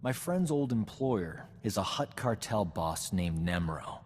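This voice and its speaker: An actor playing a vain, flirtatious, smooth-talking doctor in a video game. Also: male voice, lowish voice, lively intonation, a little slow, darkish tone, in a large hall, a little hiss or hum. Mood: boastful. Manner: cocky, self-admiring, smooth-talking. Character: vain, flirtatious male doctor, boastful charming rogue. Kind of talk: video game cutscene acting.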